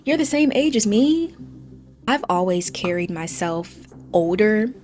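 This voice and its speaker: A woman's voice: mid-range voice, animated, unhurried, darkish tone. A woman, giving casual, candid, conversational commentary. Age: 20s. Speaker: video commentary host.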